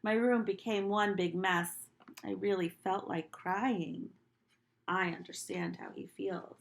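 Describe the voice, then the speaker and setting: A woman reading a picture book aloud to young children in a deliberate, animated teacher's manner, with little asides to them. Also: mid-range voice, vocal fry, a little hiss or hum.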